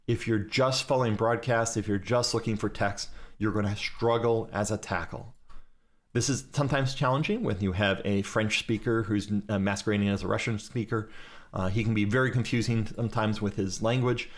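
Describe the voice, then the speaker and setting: A man teaching a class during a live game, giving step-by-step directions to his fleet over voice chat. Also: lowish voice.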